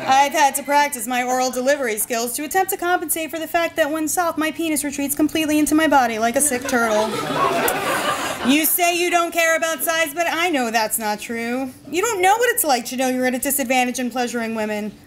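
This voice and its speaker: A woman giving a live comic reading of humorous personal essays at a bar, in a dry, deliberate delivery. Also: sits high, animated, a faint crowd, slight background noise. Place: bar reading event before an audience.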